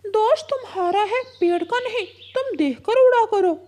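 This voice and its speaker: A woman voicing a squeaky kind parrot. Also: animated, normal speed, mellow, slight background noise. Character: kind male parrot, gentle and helpful.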